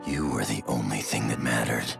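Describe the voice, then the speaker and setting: Gravelly, very deep tough guy in grief. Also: moderate pace, music in the background, exaggerated voice. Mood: guarded, tender. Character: gruff tough man hiding deep grief and love. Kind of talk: dramatic video game cutscene acting.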